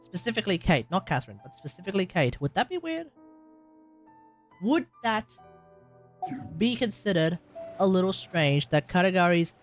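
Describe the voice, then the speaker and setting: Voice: mid-range voice, big pitch swings, moderate pace, long pauses, faint music behind. Rambling Australian streamer thinking aloud. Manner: rambling, thinks aloud, greets chat, sudden loud outbursts. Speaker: game streamer. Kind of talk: casual live-stream chatter while gaming.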